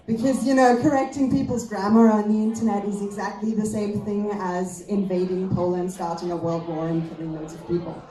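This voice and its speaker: A woman, a German speaker, performing a self-deprecating, conversational stand-up routine in English with halting pauses, recorded live in a venue. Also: mid-range voice, level tone, mellow, faint music behind. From Austria. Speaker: stand-up comedian. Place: comedy festival venue, live on stage. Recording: echoey live room recording.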